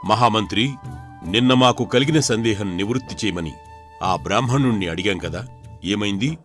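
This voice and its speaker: A man voicing a dignified king who speaks formally and with measured authority in an animated folk tale. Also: fairly low pitch, faint music behind, natural voice. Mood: formal, expectant. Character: dignified king, puzzled and commanding.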